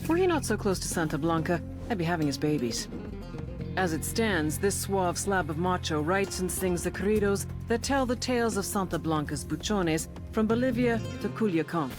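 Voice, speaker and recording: Actress playing sardonic agent narrating, music behind. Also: female voice, lowish voice, expressive, normal speed, natural voice. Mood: wry, brash. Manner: sardonic, crude, dry humour. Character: cynical wisecracking female agent narrating cartel briefings. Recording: voice over music.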